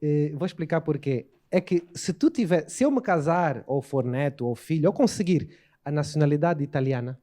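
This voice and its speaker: A man with an Angolan Portuguese accent, an author talking as a guest in a relaxed interview, animated and often voicing other people in quick asides. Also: a little high.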